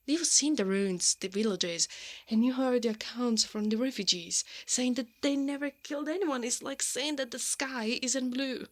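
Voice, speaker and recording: Brash, protective woman knight, acted by a woman. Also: on the high side. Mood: incredulous. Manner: defiant and exasperated, slipping into flustered stammers. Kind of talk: ASMR roleplay acting.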